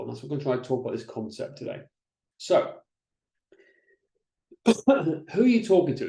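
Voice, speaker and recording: Chatty man teaching in an echoey room. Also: medium pitch. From Wales. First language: Welsh. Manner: energetic, chatty, with frequent restarts and "right?" checks. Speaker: business coach presenting a webinar. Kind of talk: presenting slides in an online masterclass.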